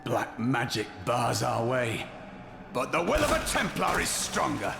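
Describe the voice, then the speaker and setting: A man's voice: medium pitch, huge pitch swings, measured pace, creaky, full character voice. An actor playing a proud, righteous male Templar warrior in a fantasy game, formal and declamatory. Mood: resolute. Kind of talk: dramatic fantasy game acting. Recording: roomy echo, loud game music behind.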